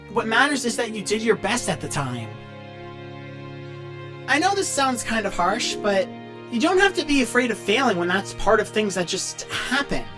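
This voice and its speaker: A woman voicing a young, awkward, kind-hearted character who answers questions in a casual, hesitant, encouraging and rambling way. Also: mid-range voice.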